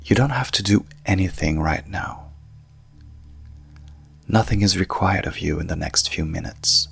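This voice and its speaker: Very slow, deep-voiced man guiding sleep hypnosis. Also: gravelly, slightly rough, soft background music. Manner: calm, hushed, soothing, measured with long pauses. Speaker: coach and hypnotherapist guiding a session. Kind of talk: sleep hypnosis guided meditation.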